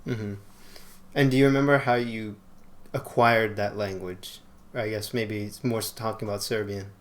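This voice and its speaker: A man's voice: lowish voice, fairly even intonation, normal speed. A man interviewing a friend, asking loose, friendly questions with "like" fillers.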